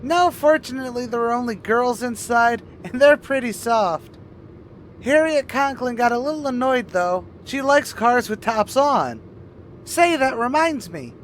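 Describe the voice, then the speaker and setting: An actor playing an eager, goofy teenage boy student with a squeaky adolescent voice in a 1950s radio sitcom. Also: lively intonation, measured pace, slight background noise. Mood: eager, sheepish.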